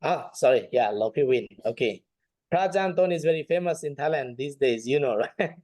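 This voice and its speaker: A man's voice: medium pitch, animated, normal speed, darkish tone, dry recording. A man teaching an informal online class as a Buddhist monk, speaking hesitantly and often repeating phrases. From Nepal.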